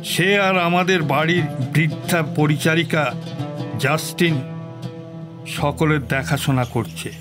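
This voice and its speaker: A gentle old father voicing a letter to his son. He speaks very slowly and solemnly, pausing between phrases in sorrow. Clear instrumental music runs under this audio drama performance. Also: fairly high pitch, steady tone. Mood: solemn, sorrowful. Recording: studio voice under loud music.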